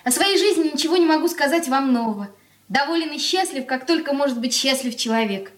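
Radio drama: eager young daughter, high-voiced, earnest and quick, echoey room. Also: female voice, lively intonation. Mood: earnest reading. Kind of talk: radio play acting. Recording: roomy, echoing radio studio recording.

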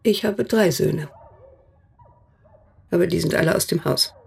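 A woman's voice: on the low side, measured pace, vocal fry, a little mellow, slightly rough, heavily acted. An actress playing a stern older woman, a mother of three grown sons, who speaks in a guarded, cool and matter-of-fact way in a radio drama.

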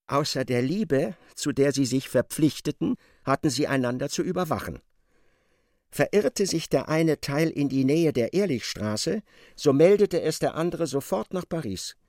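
A man giving expressive literary narration in a radio drama, as a storyteller. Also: medium pitch, dry recording, studio quality.

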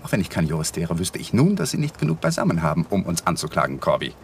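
An actor playing a cornered, defensive young lawyer in a radio drama, agitated and hesitant, at times breathy. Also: male voice, low voice, huge pitch swings, quick pace, slight background noise, full character voice. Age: young adult. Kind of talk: 1980s German radio drama acting.

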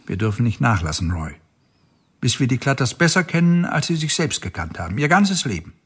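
Dogged sheriff, husky voice with lively pitch, radio play. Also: male voice, a little fast. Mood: earnest. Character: dogged worn male sheriff, case-obsessed.

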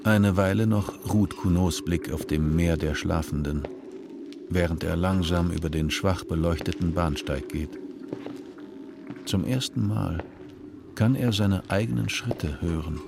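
A man narrating a radio play in a slow, measured way. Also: sits low, gravelly, background noise.

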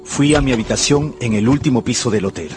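A man's voice: mid-range voice, fast, music in the background, lightly acted. An actor playing an earnest, lovestruck young man, narrating and speaking in an intimate radio drama. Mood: wistful narration. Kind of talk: first-person radio drama narration and dialogue.

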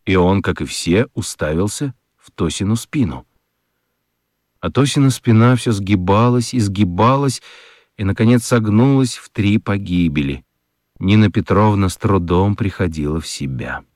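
A man narrating a children's radio play as an expressive storyteller, with deliberate dramatic pauses. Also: fairly low pitch, no room sound, studio quality. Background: prominent music.

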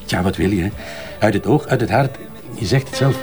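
An actor playing a courteous, drily witty old friend back from travels in a Flemish radio drama, gentlemanly in manner. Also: male voice, on the low side, lively intonation, brisk, over loud music, natural voice. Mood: dry. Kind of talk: naturalistic radio drama dialogue.

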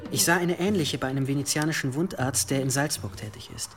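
An actor playing a calm, modest medieval monk and inventor in a naturalistic radio drama, speaking in a measured, encouraging way. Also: male voice, medium pitch, over music, lightly acted. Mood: modest, explaining.

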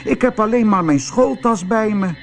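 A man's voice: sits high, normal speed, faint music behind, pitched above the performer's own voice. A man voicing a mischievous young schoolboy in a storybook, with an exclaiming, boyish delivery. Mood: protesting.

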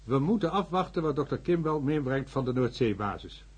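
A man's voice: mid-range voice, expressive, fairly quick, husky. An actor playing a cautious, commanding male doctor who admonishes his team in a science fiction radio drama.

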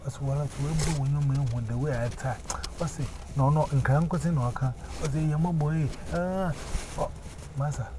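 A man with a Ghanaian accent, preaching and giving testimony, emphatic and often repeating words in chanting runs. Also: medium pitch. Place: outdoors on a busy street. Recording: noisy street recording.

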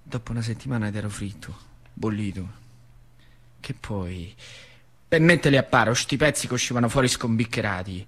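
An actor playing an excitable working-class factory worker, telling his story in a central Italian accent with repeated phrases for emphasis. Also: on the low side, moderate pace, pauses often, lightly acted. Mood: resigned, vivid. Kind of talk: theatrical monologue in dialect.

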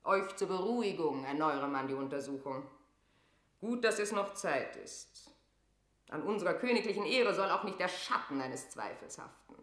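A scheming, wrathful queen of England in classical radio drama. Her low voice has a bright, forceful edge and a regal, declamatory delivery. The room adds noticeable echo around her. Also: lively intonation, moderate pace. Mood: regal, commanding. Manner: declamatory, regal, swinging from whisper to outburst. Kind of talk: classical German stage verse in radio drama.

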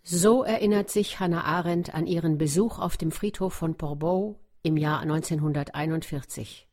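A woman's voice: sits low, moderate pace, a little mellow. A woman reading scripted narration for a radio documentary.

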